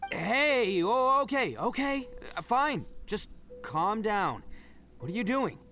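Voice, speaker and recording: An actor playing a brash, swaggering young male oni brawler in a game, talking loud and blunt. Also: high-pitched, sing-song, slow, faint music behind, exaggerated voice. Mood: cocky. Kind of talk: game cutscene voice acting.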